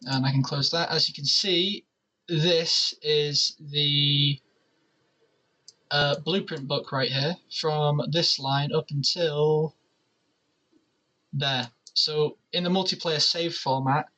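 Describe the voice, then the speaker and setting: Man, slightly high pitch, bright forceful tone, casual step-by-step explainer, gaming tutorial presenter. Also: steady tone, slow pace.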